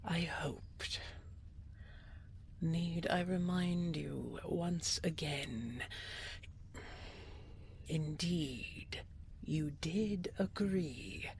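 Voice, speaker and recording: A woman playing a regal, possessive dragon queen in an intimate audio roleplay, with a breathy, whispery voice and formal, coaxing speech full of endearments. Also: low voice, a little hiss or hum, exaggerated voice. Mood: stern, warning.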